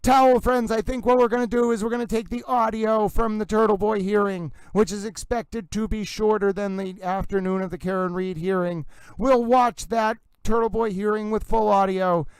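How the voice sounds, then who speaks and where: A man's voice: sits high, flat delivery, normal speed, smooth, no echo, low-quality recording. A man hosting a livestream in a gushing, theatrical singsong manner, a little breathless as he chats with viewers. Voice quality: slightly breathless. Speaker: livestream host. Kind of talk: casual livestream chat with viewers.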